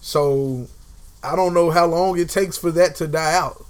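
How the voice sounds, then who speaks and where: A man's voice: fairly high pitch, measured pace. A young man in casual conversation, talking emphatically and repeating phrases to drive a point home. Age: young adult.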